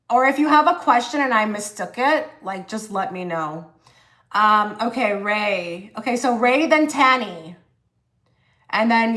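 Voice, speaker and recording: A woman hosts a live tarot stream in a loose, chatty way. She halts, restarts and fills pauses as she reads cards and talks to viewers. Her voice sits at an ordinary middle pitch. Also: fairly bright tone. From half Egyptian. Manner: chatty, halting, many fillers and restarts. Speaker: tarot reader livestream host. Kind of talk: casual livestream tarot reading.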